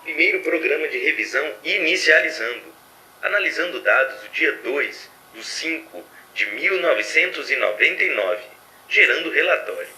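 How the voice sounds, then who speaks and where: A man's voice: a little high, lively intonation, moderate pace, bright, forceful tone, distant mic, rough recording. A man playing an earnest, self-doubting artificial intelligence, giving formal reports in an audio drama. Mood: earnest wonder.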